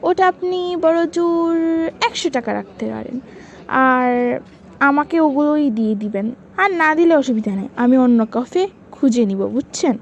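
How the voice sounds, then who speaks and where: High-pitched scolding cartoon mother, played by a woman. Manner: scolding, nagging, argumentative. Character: stingy, short-tempered, scolding cartoon mother haggling over prices. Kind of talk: cartoon skit dialogue.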